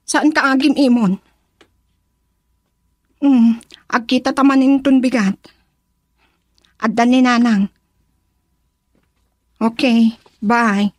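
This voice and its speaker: Coy young sweetheart, high voice, slow drawl, sulky, Ilocano Filipino accent, radio drama. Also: female voice, fairly even intonation, dry recording. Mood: coy, pouting.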